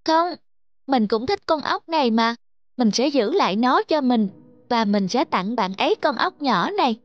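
A woman voicing a young child in a gentle moral cartoon, speaking simply and earnestly. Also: a little high, animated, moderate pace, dry recording, dull audio, natural voice. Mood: scheming. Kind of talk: Vietnamese children's cartoon dubbing.

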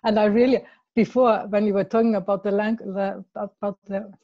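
A woman from Iceland with an Icelandic accent, speaking in a casual discussion over a video call, hesitant, repeating words and laughing softly. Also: mid-range voice, little pitch movement, moderate pace, no echo, highs cut off. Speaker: class participant.

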